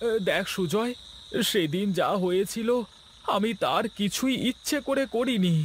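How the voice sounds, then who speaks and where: A man voicing a timid, kind-hearted husband in a cartoon story, with a pleading, high-strung manner. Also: animated, a little slow, a little hiss or hum. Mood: frightened, apologetic. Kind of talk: Bengali cartoon story voice acting.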